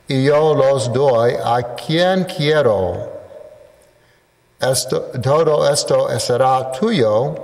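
A man in his sixties, a priest, reading the gospel and preaching at Mass into a lectern mic in a church. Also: mid-range voice. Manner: slow, deliberate reading from a book. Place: church sanctuary, indoors. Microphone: stand mic at a lectern. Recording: reverberant church sound.

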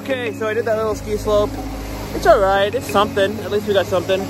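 A man in his thirties filming himself on an indoor ski slope with a handheld camera at arm's length, talking in a relaxed, offhand way. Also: sits high, fast, loud music behind the voice, poor audio. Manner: relaxed, offhand commentary while skiing. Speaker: vlogger. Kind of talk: casual vlog talk. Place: large indoor ski hall.

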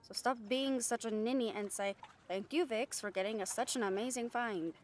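A woman's voice: on the high side, normal speed, lightly acted. Brash catgirl pirate, acted by a woman, noisy ambience. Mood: defiant. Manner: bantering, sarcastic, salty-tongued. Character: brash, cheeky female calico catgirl pirate shipmate. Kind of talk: roleplay audio drama acting.

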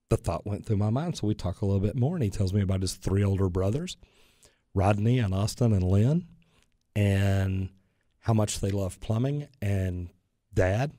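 A man's voice: fairly low pitch, no room sound, clean studio sound. A man, telling stories as a plumber guest on a radio talk show. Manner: animated storyteller, gestures while talking. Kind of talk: casual radio chat and storytelling. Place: radio studio, indoors. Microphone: studio boom mic with headphones.

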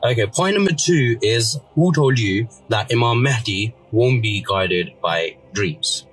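A man's voice: medium pitch, animated, unhurried, a bit bright, husky, soft background music, low-quality recording. A man in his thirties, born in Pakistan with Urdu as his first language, earnestly talking in a parked car, recorded on a phone, with many fillers. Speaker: commentator. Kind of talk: casual video rebuttal.

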